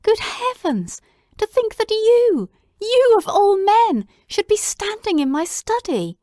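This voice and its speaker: A woman voicing an excitable, loyal middle-aged male doctor who talks in breathless, exclamatory bursts. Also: very high-pitched, slow pace, no room sound, clean studio sound, pitched above the performer's own voice, heavily acted. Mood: astonished.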